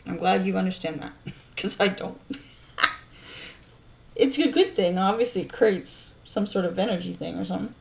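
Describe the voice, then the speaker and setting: A woman narrating a video, reading scientific text aloud with informal asides, halting over technical terms and correcting herself. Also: mid-range voice, gravelly. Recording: dull lo-fi sound.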